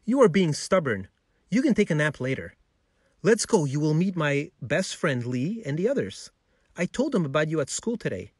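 A man's voice: fairly high pitch, very animated, moderate pace, breathy edge, dry recording, clean studio sound. A man voicing a cheerful, encouraging schoolboy in a children's story, enthusiastic and exclamatory. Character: cheerful, encouraging schoolboy, fond of his dog.

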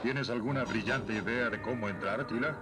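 Un actor con acento latinoamericano que interpreta a un joven héroe fuerte, seguro y directo, en una caricatura doblada. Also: male voice, medium pitch, moderate pace, natural voice. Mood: assured, decisive. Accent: Latin American Spanish. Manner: assured, straightforward. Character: confident, brave young muscular hero. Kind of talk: dubbed 1980s cartoon acting. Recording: loud music behind dubbed cartoon dialogue.

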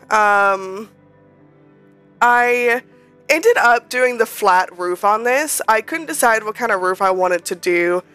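A woman talks through a game build in a loose, rambling voice-over, sounding a little nasal as she gets over a cold. Her tone is smooth and clear at an ordinary middle pitch. Soft music plays quietly underneath. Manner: chatty and rambling, many ums and restarts. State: slightly nasal, recovering from a cold. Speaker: Sims speed-build creator. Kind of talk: casual voice-over commentary.

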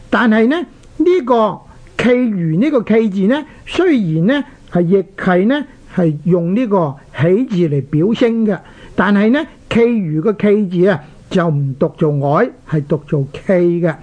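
Hong Kong Cantonese radio host, dull lo-fi sound. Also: medium pitch. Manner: didactic, deliberate, spelling out characters. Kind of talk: explanatory talk on Chinese characters.

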